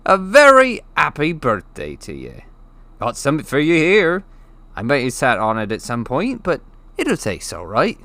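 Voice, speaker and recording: A man's voice: fairly high pitch, huge pitch swings, moderate pace, vocal fry, pushed and bright, dry recording, voice pushed up, heavily acted. A man voicing a huge, brash, jovial bearded giant in a storybook reading, with folksy, colloquial speech and dropped endings. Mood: warm, cheerful.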